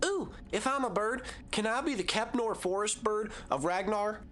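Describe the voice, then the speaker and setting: A woman's voice: medium pitch, moderate pace, fairly bright tone, close to an everyday voice. A woman playing a playful, cheeky young female soldier in an audio drama. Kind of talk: scripted audio drama acting.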